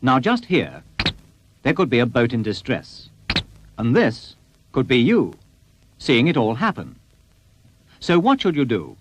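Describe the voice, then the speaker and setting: A man's voice: mid-range voice, wildly swooping pitch. British man narrating, with very varied intonation. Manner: measured, instructional. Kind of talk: scripted public information narration. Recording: faintly noisy old VHS tape.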